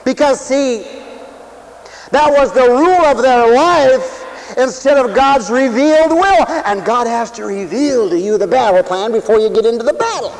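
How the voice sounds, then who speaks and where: A man preaching a sermon for radio, who swings from loud, stretched-out lines to quiet asides and acts out other people's voices. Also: high voice, a little hiss or hum, rough recording. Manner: dramatic swings from shouting to near whisper, acts out voices.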